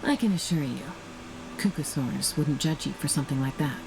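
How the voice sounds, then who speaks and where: A woman's voice: deep voice, moderate pace, gravelly, noisy background. A woman playing a cool, composed and caring young warrior in a video game, with an assured delivery. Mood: calm, reassuring. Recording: music behind the voice.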